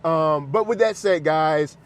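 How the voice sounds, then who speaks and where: High-voiced, playful man. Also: takes it slowly. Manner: playful, excitable, repeats phrases for emphasis. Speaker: film reviewer and channel host. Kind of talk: casual, unscripted movie reaction chat.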